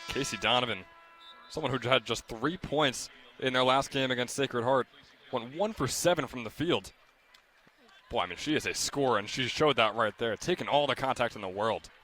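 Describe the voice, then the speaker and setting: A man's voice: medium pitch, normal speed, pushed and bright. A young man giving conversational, emphatic color commentary at a live basketball game. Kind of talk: live sports analysis, conversational. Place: courtside at indoor basketball game.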